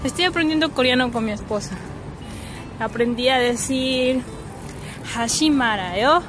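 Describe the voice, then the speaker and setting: A woman's voice: sits high, expressive, normal speed, background noise. A young woman with an Ecuadorian Spanish accent, chatting casually and giggly as she vlogs outdoors on a handheld camera. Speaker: vlogger. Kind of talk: casual vlog chat. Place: outdoors on a riverside path at night. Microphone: handheld phone or camera. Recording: music behind, handheld outdoor recording.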